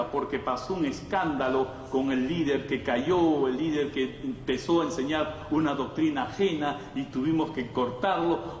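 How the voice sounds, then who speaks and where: A man with a Latin American Spanish accent preaching a conversational sermon to a congregation, emphatic, repeating phrases and asking rhetorical questions. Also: medium pitch. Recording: echoey hall, distant mic, faint noise.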